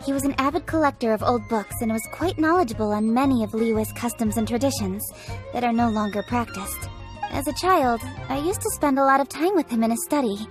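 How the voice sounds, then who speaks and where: Young female official played by a woman, somewhat high voice, dignified, formal, composed, reflective, video game dialogue, soft music. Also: natural voice.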